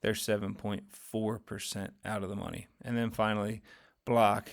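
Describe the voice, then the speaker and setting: A man walks through his fund's daily trades in a loose, rambling recap, his voice low, gravelly and fairly flat. He keeps stopping to correct himself and fill gaps with ums. His voice is getting hoarse as he goes. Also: moderate pace. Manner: conversational, rambling, many fillers and self-corrections. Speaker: finance channel host. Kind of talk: casual screen-share market recap.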